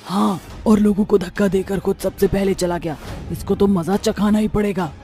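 A woman's voice: medium pitch, fairly even intonation, moderate pace, dark tone, close to an everyday voice. Stern, vengeful witch acted by a woman. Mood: menacing. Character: vengeful female witch ghost, righteous and menacing. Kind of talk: cartoon horror story character voicing. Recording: music behind the voice.

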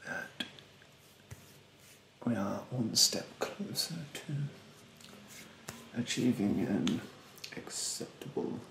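Young guy doing a snooty fussy facial therapist for asmr, low slow murmur, condescending, roomy sound. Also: lively intonation, gravelly, fairly bright tone, slight background noise, heavily acted. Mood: fussy, instructive. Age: young adult. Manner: hesitant, condescending, haughtily polite. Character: rude, snobbish, fastidious male facial-treatment therapist. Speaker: ASMR roleplayer. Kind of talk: soft-spoken, half-whispered ASMR roleplay. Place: bedroom, indoors. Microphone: close mic in front.

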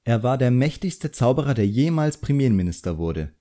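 A man voicing a composed, quietly sharp female drawing teacher in an audiobook, instructive and measured. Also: mid-range voice, very animated, close to an everyday voice.

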